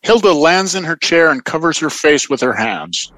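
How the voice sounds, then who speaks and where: A man's voice: a little high, expressive, moderate pace, noisy background. A man serving as announcer, reading scripted stage directions for an audio play.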